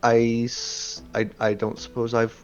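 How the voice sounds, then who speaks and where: A man voicing Jenna, a calm and candid woman aboard a ship, in a plain, unhurried voice. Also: medium pitch, muffled recording, soft background music, close to an everyday voice. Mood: thoughtful.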